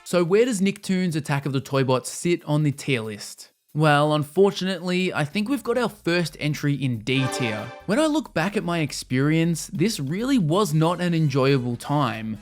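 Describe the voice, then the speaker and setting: A man reading a scripted video game review in a measured, explanatory and opinionated way. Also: a little high, very animated. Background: music under the voice.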